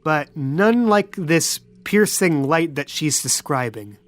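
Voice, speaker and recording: Woman running a tabletop game, narrating scenes in a lowish voice. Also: animated, takes it slowly. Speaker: game master. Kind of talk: narrating a tabletop roleplay podcast.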